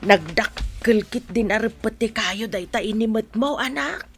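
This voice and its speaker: A woman voicing a fretting, scolding village mother with a raspy, creaky voice and an Ilocano accent, in a comedy radio drama. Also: a little high, measured pace, over music. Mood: fretful, scolding.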